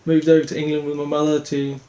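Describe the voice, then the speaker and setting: A young man from Denmark, a speedway rider answering interview questions in an earnest, halting way with many restarts. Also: on the high side, monotone, warm tone.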